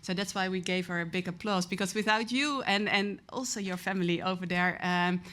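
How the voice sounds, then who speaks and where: A woman from the Netherlands, a government official, speaking from the heart at an event in a warm, rambling way. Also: lowish voice, steady tone, a little fast. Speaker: government official giving remarks. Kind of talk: informal speech from the stage. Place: event hall.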